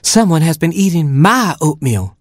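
A storyteller voicing a bossy, quick-tempered mother hen who clucks and scolds. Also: sits low, big pitch swings, no room sound, clean studio sound, pitched above the performer's own voice. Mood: scolding.

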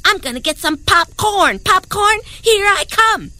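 A woman voicing an eager, naive young male rabbit in a storybook tale, gasping and excitable. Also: extremely high pitch, unhurried, pitched above the performer's own voice, exaggerated voice. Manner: excitable, gasping, boastful then sheepish.

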